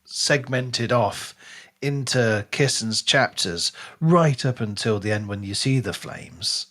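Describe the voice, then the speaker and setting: A man's voice: mid-range voice, slow, fairly bright tone. A man co-hosting a casual book discussion podcast, speaking in an emphatic, analytical way. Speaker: podcast host.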